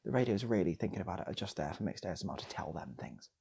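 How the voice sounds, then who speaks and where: A man's voice: deep voice, expressive, racing, gravelly, warm tone, slight background noise. A man telling an improvised comic story in a loose, rambling way, repeating phrases as he goes. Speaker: storyteller and channel host.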